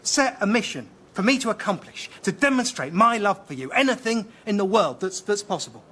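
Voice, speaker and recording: An actor playing an earnest, devoted boyfriend who is easily exasperated, in radio sketch comedy. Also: male voice, high voice, sing-song, a little fast, bright, forceful tone, full character voice. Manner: earnest, incredulous.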